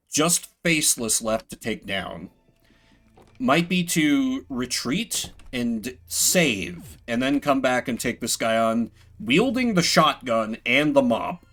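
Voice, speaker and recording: A man's voice: medium pitch, fairly bright tone. A man giving casual live game commentary as a streamer, rambling with playful, self-deprecating asides.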